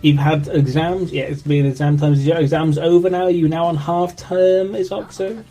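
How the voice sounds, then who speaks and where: A young Englishman with a slightly high voice chats loosely on a game stream, greeting viewers by name and swearing freely. Faint music plays underneath his desk mic. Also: steady tone, moderate pace, mellow. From England. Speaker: co-host streamer. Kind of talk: casual game stream chat.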